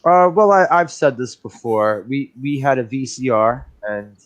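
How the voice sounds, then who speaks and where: A man hosting a casual watch party stream, easygoing and quick to laugh. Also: mid-range voice, expressive. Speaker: host. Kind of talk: casual chat.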